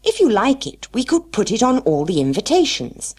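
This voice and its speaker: A woman voicing a busy, excitable, touchy male hare in a storybook, speaking eagerly and emphatically. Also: fairly high pitch, moderate pace, breathy edge, pitched above the performer's own voice, exaggerated voice. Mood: proud.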